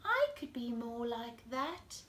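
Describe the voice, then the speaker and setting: A woman voicing a tiny, timid male storybook mouse who longs to be brave, in an eager, squeaky manner. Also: big pitch swings, takes it slowly, a bit bright, exaggerated voice. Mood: wistful. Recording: roomy, echoey living room with noise.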